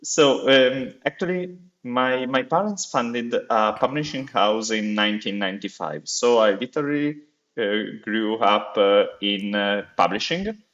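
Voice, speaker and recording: A man's voice: on the low side, unhurried, a bit bright, muffled recording. A man in his thirties with an Italian accent, speaking English as a second language as a podcast guest, hesitant with many filler sounds. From Italy. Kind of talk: interview conversation.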